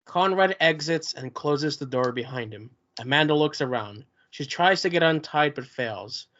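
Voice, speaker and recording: A man's voice: fairly high pitch, lively intonation, moderate pace, fairly bright tone. A man reading stage directions as scripted narration at a table read. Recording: dull lo-fi sound.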